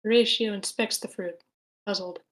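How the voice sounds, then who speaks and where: A woman's voice: mid-range voice, fairly even intonation, normal speed, a bit bright. A woman reading out scripted stage directions as the narrator of a screenplay table read.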